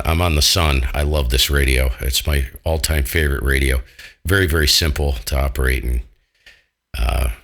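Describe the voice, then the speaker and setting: A man's voice: a bass voice, a bit bright. An American man chatting casually on amateur radio in a jokey, colloquial and blunt manner. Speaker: amateur radio operator, channel host. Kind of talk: casual ham radio chat.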